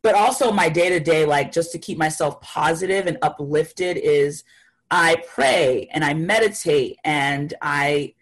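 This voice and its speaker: A woman's voice: low-pitched, a little slow, poor audio. Young woman guest, thoughtful and easygoing. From Haiti. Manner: thoughtful, many fillers. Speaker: guest artist. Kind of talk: casual interview talk.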